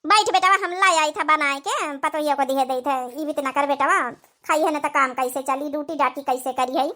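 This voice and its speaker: A woman's voice: high-pitched, normal speed, sharp tone, faint music behind. A woman voicing a patient, doting old village mother-in-law in an Awadhi comedy cartoon, with homely, coaxing, plaintive speech and an Awadhi accent. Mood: soothing, coaxing.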